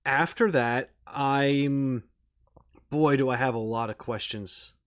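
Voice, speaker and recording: Man, medium pitch, casual reaction host, hesitant, puzzling things out aloud. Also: takes it slowly, a bit bright. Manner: hesitant, thinking aloud, repeating words as he puzzles. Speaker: video game reaction host. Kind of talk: casual off-the-cuff commentary.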